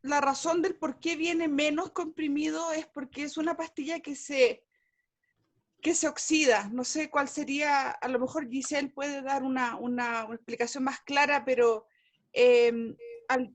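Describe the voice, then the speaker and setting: A woman's voice: high voice, steady tone, a little slow. A woman from Chile, speaking earnestly and a bit hesitantly in a group video call. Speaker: support group participant.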